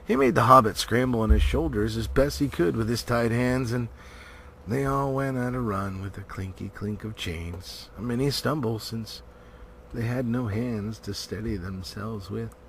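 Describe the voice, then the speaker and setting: A man's voice: medium pitch, normal speed, creaky, a bit bright. A man giving a theatrical, emphatic storybook narration as an amateur audiobook reader. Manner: theatrical, emphatic, with varying volume. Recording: noticeable background noise.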